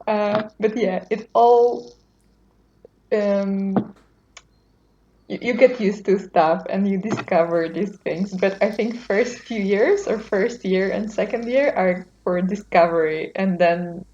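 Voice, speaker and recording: A woman from Europe speaks as a podcast guest, in a mid-range voice. She talks casually and thoughtfully, pausing and reworking her sentences. The recording sounds slightly dull and lo-fi. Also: mid-range voice, mellow, slight background noise. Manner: thoughtful, hesitant, with many fillers and restarts. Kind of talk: casual conversation.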